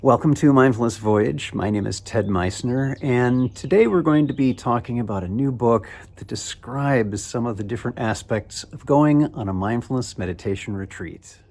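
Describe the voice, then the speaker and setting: Host, man, friendly interviewer, conversational, mid pitch. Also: normal speed, few pauses, darkish tone. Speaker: podcast host. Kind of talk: podcast interview.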